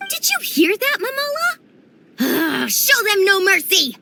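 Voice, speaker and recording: Casting: young female game adventurer, high voice, animated pitch, breezy, eager, competitive and friendly. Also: takes it slowly, creaky, background noise, full character voice. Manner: breezy, enthusiastic. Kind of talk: video game voice acting.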